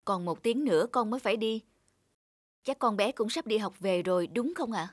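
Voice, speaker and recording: A woman's voice: mid-range voice, moderate pace, no room sound, clean studio sound, lightly acted. A woman voicing a busy, weary young working mother in a cartoon. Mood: brisk. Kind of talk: cartoon voice acting.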